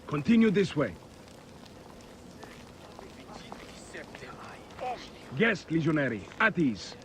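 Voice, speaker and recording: Officious, higher-voiced Roman officer. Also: male voice, very animated, normal speed. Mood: brisk command. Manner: formal, officious. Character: stiff, formal Roman officer barking orders at guards. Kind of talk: video game dramatic acting. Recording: faint background noise.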